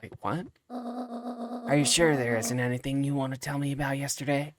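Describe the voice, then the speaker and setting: A man's voice: medium pitch, moderate pace, close to an everyday voice. A man voicing a stern, guarded old grandmother in a storybook game, with firm, clipped commands. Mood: stern, probing.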